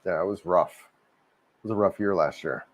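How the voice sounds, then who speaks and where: Slightly hoarse man chatting on a livestream. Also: deep voice, moderate pace, dull audio. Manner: rambling, repeats himself, frequent restarts. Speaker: livestream host. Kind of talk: casual livestream chat reading viewer comments. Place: home in Cebu, Philippines.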